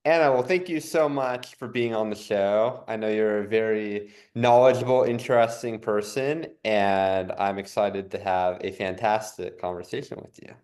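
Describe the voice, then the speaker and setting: A man hosting a podcast interview, upbeat and quick to chime in with short affirmations. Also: on the low side, steady tone, darkish tone. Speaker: podcast host.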